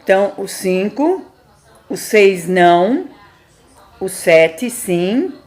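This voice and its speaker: A woman teaching math in an unhurried, deliberate way. She poses questions to her class and answers them herself, patiently working through each step. Her voice sits at an ordinary middle pitch. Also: big pitch swings, darkish tone. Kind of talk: classroom lesson explaining step by step.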